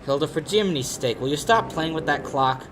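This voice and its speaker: A young actor playing a sardonic, pushy lawyer in a 1940s-style radio detective play. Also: male voice, fairly high pitch, lively intonation, a little fast, noisy background, close to an everyday voice. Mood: impatient. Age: young adult. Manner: wisecracking, hard-boiled. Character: sardonic, pushy male lawyer turned investigator. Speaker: high school actor.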